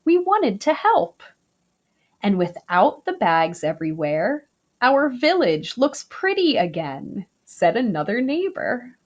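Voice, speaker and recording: A woman reading a children's picture book aloud, slow, gentle and expressive. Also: fairly high pitch, muffled recording. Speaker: storybook reader.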